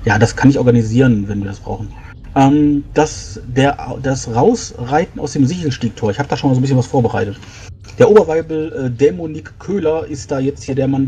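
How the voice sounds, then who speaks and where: Male role-player, soft dark tone, rambling explainer, noisy home voice chat. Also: medium pitch. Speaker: tabletop role-playing player. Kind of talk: in-character planning talk, improvised.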